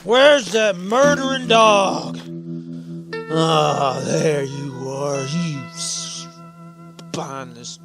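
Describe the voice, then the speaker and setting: An actor playing an angry, vengeful western tough in a radio drama, menacing and accusing. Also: male voice, a little high, very slow, creaky, music in the background, heavily acted. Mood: menacing anger. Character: vengeful, angry western tough seeking his brother's killer. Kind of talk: old-time western radio drama acting.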